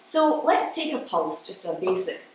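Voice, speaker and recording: A woman giving a live explanatory talk as a musician, with halting pauses. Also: lowish voice, sing-song. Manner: explanatory, halting pauses, counts beats aloud. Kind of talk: live lecture with demonstrations. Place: indoor room with an audience. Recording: distant mic, very echoey room, faint noise.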